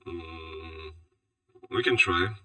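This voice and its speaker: A man's voice: low voice, extremely slow, sharp tone. A man streaming from home in casual chat, rambling and thinking aloud as he sets up his gear. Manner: rambling, thinking aloud, asking himself questions. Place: home, moving between PC and kitchen. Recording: distant, muffled home stream mic.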